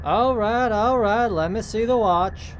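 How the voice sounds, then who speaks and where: Slow-drawling Southern shopkeeper played by a woman. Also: medium pitch, a little hiss or hum, close to an everyday voice. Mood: skeptical. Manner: drawling, folksy. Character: folksy, wary female shopkeeper. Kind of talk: radio play acting.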